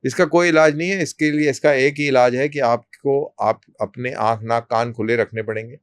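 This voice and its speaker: A man gives explanations as a guest in an interview. His voice is smooth and clear, at an average pitch. He talks in a measured, deliberate way, pausing between short phrases, and the recording is very dry. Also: fairly even intonation, no echo. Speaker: army colonel, interview guest.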